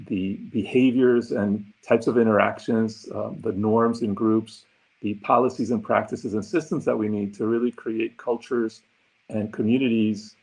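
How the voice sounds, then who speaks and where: A man in his sixties from Argentina whose first language is Spanish, speaking from his home office as an interview guest, thoughtful and often pausing with ums and uhs. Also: fairly low pitch, gravelly, slightly rough, poor audio. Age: 60s. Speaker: guest, consultant. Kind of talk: conversational interview answers.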